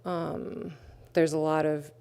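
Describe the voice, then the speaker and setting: A woman's voice: sits low, little pitch movement, takes it slowly, mellow, clean tone. A woman artist talking casually about her work, hesitant and full of fillers. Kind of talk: livestream artist talk.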